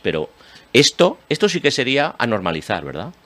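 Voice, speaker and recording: A man's voice: medium pitch, very animated, brisk, fairly bright tone. A man with an accent from Spain, hosting a panel discussion and speaking emphatically, often repeating phrases. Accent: Spanish from Spain. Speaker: host.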